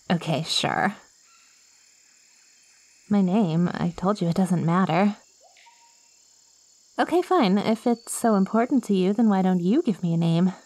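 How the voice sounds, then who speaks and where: A woman acts out a possessive monster girl made of living plant, lonely and sweetly coaxing. She speaks slowly and theatrically in an ASMR roleplay, teasing the listener, on a very dry, close recording. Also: medium pitch, lively intonation, a little mellow, no echo. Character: lonely, possessive yandere plant girl, ancient yet girlish.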